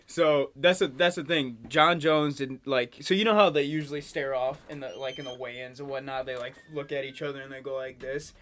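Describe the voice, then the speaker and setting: A young man co-hosting a podcast in casual chat, excitable and slangy, laughing often. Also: mid-range voice, fairly quick, hardly any pauses, background noise. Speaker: podcast co-host.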